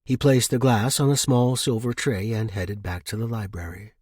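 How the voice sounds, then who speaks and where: Male podcast host and fiction narrator, lower register, husky texture, dry close recording. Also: steady tone, normal speed, a little mellow, no room sound. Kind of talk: casual intro and scripted audiobook narration.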